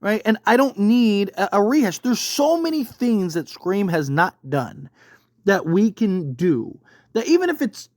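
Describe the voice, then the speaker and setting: An online commentator with a mid-pitched voice, recorded close and very dry. The delivery is a casual, opinionated rant, emphatic and conversational, punctuated by rhetorical check-ins. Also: no echo. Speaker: YouTube host giving film commentary.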